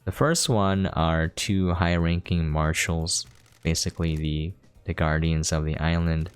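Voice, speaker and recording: A man's voice: low-pitched, steady tone, a little slow, clear, resonant tone. A man giving casual, explanatory gameplay commentary, talking informally to his viewers. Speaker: game streamer giving a preview. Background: game music.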